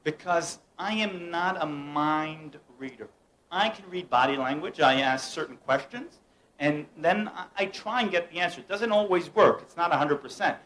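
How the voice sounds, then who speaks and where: A man's voice: on the high side, moderate pace, fairly bright tone, a little hiss or hum, poor audio. A young man from the United States performing a playful mind-reading act, teasing and directing the people around him. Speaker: mentalist performer. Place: room at a home gathering.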